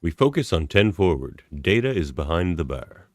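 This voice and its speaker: A man's voice: deep voice, big pitch swings, normal speed, dry recording, studio quality. A man reading scripted stage directions as the narrator of a radio play.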